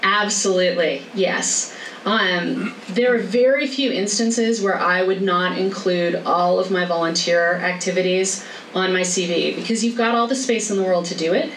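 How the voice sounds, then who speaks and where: A woman speaks in a brisk, chatty way as she leads a workshop. She is heard from a distance in an echoey lecture room. A faint hiss sits under her voice. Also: fairly low pitch. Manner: brisk, chatty, laughs easily. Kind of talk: informal talk with Q&A.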